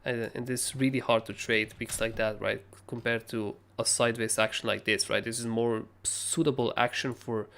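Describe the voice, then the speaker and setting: A young man with a hoarse, raspy voice, casually talking through trading charts as a livestream host, rambling and swearing freely. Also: medium pitch, fairly even intonation, normal speed, hardly any pauses, a bit bright. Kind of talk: casual live chart analysis.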